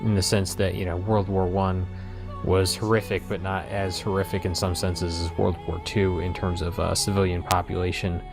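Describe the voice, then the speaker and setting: A man with an American accent gives casual, reflective commentary on history in a halting way. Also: low voice, level tone, vocal fry, music in the background. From United States.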